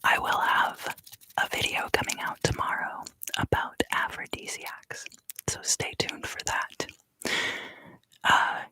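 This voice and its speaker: A woman giving an ASMR card reading for a live stream in a soft, unhurried, often fully whispered voice, close to the microphone. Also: poor audio. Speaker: ASMR tarot reader and live stream host.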